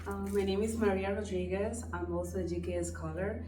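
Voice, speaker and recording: A woman's voice: mid-range voice, steady tone, a little fast, reverberant, distant mic, background noise. A young woman from the Dominican Republic, a scholarship student explaining the process earnestly in a casual chat.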